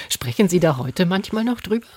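A woman's voice: lowish voice, a little mellow, husky. A woman interviewer asking conversational questions, phrased tentatively and with care.

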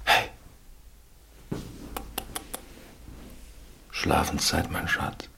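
A man's voice: a little slow, sharp tone. An actor playing a bitter, contemptuous garage owner in a radio drama, muttering his inner thoughts. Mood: soft, tender. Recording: music playing behind the voice. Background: guitar music.

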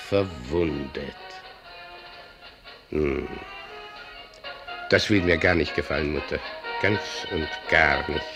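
A man playing an opinionated older doorman in a German radio drama, muttering and reading haltingly with asides. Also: medium pitch, vocal fry, fairly bright tone. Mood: worried. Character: brooding, opinionated older doorman and newspaper clipper.

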